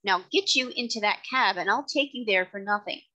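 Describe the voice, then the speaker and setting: A woman's voice: mid-range voice, brisk, pushed and bright, dull audio, natural voice. A woman voicing a kindly, firm male cab driver in a storybook, plain-spoken and respectful. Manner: plain-spoken, respectful working-class address.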